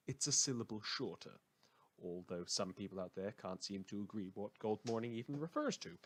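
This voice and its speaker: A man acting as an eccentric community radio news host with a fairly low voice. He is self-important and cheerfully morbid, delivering scripted comedy news with theatrical flourish and a deadpan, rambling manner.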